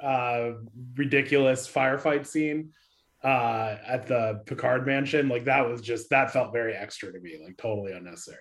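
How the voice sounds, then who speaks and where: A man offering casual, opinionated commentary as a panelist in a relaxed group discussion. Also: medium pitch, moderate pace. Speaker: podcast panelist.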